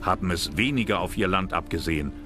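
Low-voiced male documentary narrator. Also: lively intonation, fast talker, over music. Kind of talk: scripted narration.

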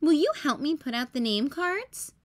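A woman's voice: high voice, big pitch swings, moderate pace, voice pushed up. A woman reading a storybook as a bossy, puzzled young big-sister bunny, in an emphatic, exclaiming way. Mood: organizing. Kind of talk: children's storybook read-aloud.

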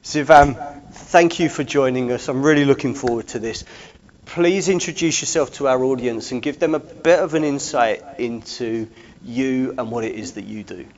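A man in his forties hosting a talk show from a studio desk on a lapel mic, with slow, emphatic presenter delivery. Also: mid-range voice, muffled recording. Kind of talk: broadcast interview hosting.

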